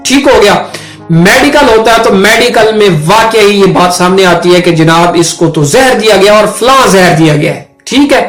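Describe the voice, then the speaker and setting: A man with a Pakistani accent giving an informal explanatory lecture, conversational and often pausing to check in with the listener. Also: sits high. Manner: conversational, repeatedly checking in with a filler tag. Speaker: law lecturer and video host. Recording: roomy echo with background music.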